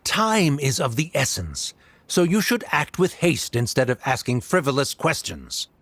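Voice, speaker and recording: An actor playing a cold, condescending academic official in a game who speaks formally and dismissively. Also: male voice, medium pitch, big pitch swings, unhurried, fairly bright tone, no echo, exaggerated voice. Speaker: official delivering an order.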